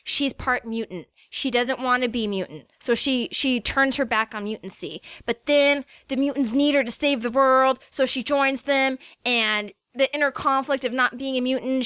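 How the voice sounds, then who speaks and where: Female co-host, bright punchy voice, dry recording, loose informal chat full of filler words. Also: fairly high pitch, smooth, no echo. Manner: informal, peppers speech with "like". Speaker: podcast co-host. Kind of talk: casual chat.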